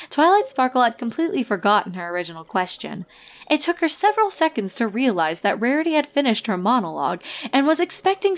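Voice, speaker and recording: Lady reading a fanfic story out loud, narrator style, kinda high voice. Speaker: narrator and fan fiction reader.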